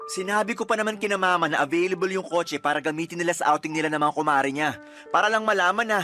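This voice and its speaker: A man's voice: high-pitched, fast, sharp tone, soft background music. A young man acting a resentful boyfriend in a radio drama, complaining and accusing. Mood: arguing.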